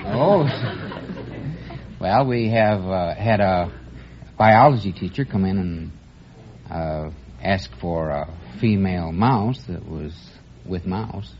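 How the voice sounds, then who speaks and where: A man from Michigan answers in a slow, halting way, stumbling through his answers with frequent uhs. His voice sits a little low. The sound is dull and lo-fi, with faint hiss behind it. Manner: halting, hesitant, full of pauses and uhs. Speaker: quiz contestant, pet shop owner. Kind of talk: unscripted quiz show answers. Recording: lo-fi vintage radio broadcast.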